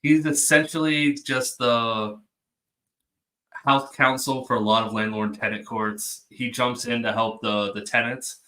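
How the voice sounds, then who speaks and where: A laid-back man hosting a stream who explains things in casual chat. His voice is bright and forward, sitting at an average pitch for a man. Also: fairly even intonation, unhurried, rough recording. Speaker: stream host.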